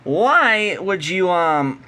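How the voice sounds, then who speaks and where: An American man speaks off the cuff in a bright, forceful voice, arguing his point bluntly and emphatically. He sounds like a combative hobbyist explainer, recorded with noticeable noise in the background. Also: high voice, sing-song, extremely slow. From United States. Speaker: radio signal hobbyist. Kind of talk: unscripted opinionated explainer rant.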